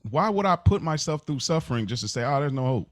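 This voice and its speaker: A man's voice: on the high side, lively intonation, fast talker, no echo. American man chatting casually, dry close sound. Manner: slangy, conversational. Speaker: podcast host. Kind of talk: casual opinionated chat.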